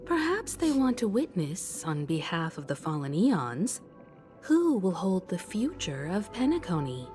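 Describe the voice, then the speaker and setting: Lowish, lively, knowing game character. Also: female voice, a little slow, faint music behind. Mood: thoughtful. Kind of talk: video game voice acting.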